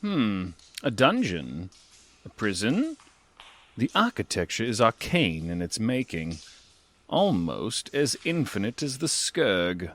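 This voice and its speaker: A man's voice: lowish voice, fairly bright tone, full character voice. A man voicing a haughty, curious cat guide in an audio drama, with a droll, aloof, condescending drawl. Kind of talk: theatrical audio drama narration. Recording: night insect ambience behind the voice.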